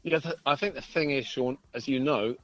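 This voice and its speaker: A man's voice: medium pitch, normal speed, fairly bright tone. A British man chatting casually and agreeably as a musician guest in an interview over a phone call. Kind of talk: casual chat. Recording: dull phone-call audio.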